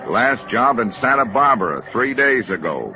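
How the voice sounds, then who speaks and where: An American actor playing a terse, slangy police officer in a 1950s radio drama, casual and businesslike. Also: male voice, medium pitch, very animated, moderate pace. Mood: matter-of-fact. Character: laconic, slangy male police records officer. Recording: dull old radio recording with music.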